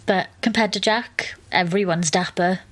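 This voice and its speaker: A woman's voice: fairly low pitch, moderate pace, natural voice. A woman playing a wry, exasperated young woman, narrating and acting in an audio drama. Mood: wry, resigned. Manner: dry, wry, understated.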